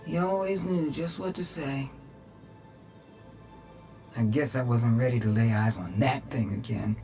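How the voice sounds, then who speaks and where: A man playing an anxious, earnest rebel crew member in a video game cutscene, expressive and unguarded. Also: medium pitch, unhurried, music in the background, natural voice. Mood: rueful.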